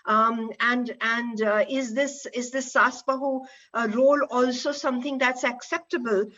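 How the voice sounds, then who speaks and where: A woman with an Indian accent moderating a panel in an unscripted, halting way, repeating words as she goes. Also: fairly high pitch, steady tone, bright, forceful tone, muffled recording.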